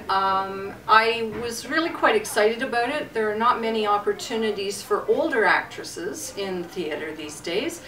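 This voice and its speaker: A woman's voice: medium pitch, a bit bright. A woman who directs theatre, talking unscripted about her work in an interview. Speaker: experienced amateur theatre director being interviewed. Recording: roomy echo, distant microphone.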